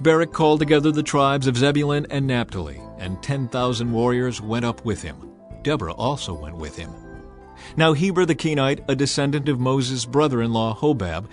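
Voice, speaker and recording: A man reading scripted scripture narration in a measured, solemn storytelling manner. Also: medium pitch. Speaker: narrator of a dramatized audio Bible. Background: music under the voice.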